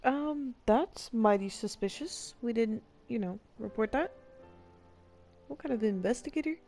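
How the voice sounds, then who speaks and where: A woman's voice: fairly high pitch, expressive, a little slow, warm tone. A woman streamer giving casual, playful gameplay commentary, reacting with self-deprecating humor. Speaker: video game streamer. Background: game music.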